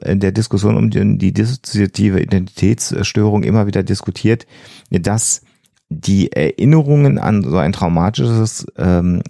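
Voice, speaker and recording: A man explaining psychology on a podcast in a measured way, hesitating as he searches for precise wording. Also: fairly low pitch, vocal fry, dark tone, dry recording, studio quality.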